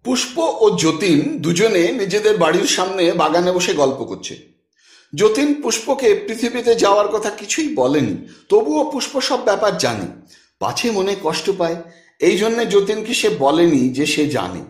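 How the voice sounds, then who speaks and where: A man narrating a literary audiobook expressively, with dramatic, deliberate phrasing and pauses. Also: high-pitched, very animated, echoey room.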